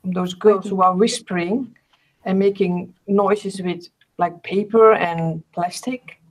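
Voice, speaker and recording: A woman's voice: mid-range voice, fairly even intonation, slow, poor audio. A woman hosting a casual craft live stream over a video call, asking friendly questions.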